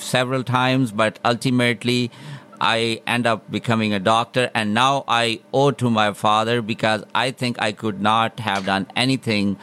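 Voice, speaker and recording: Casting: middle-aged man from Pakistan, resonant smooth voice, hesitant interviewee. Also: mid-range voice, bright, forceful tone. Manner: hesitant, many fillers. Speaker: guest physician. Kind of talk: radio interview answers.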